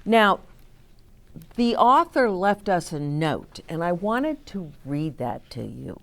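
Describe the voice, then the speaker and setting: Slow, expressive woman reading to children. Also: lowish voice, creaky. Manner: deliberate, explains and questions as if to young children. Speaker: storytime host reading picture books aloud. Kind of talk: expressive read-aloud for children with asides to listeners.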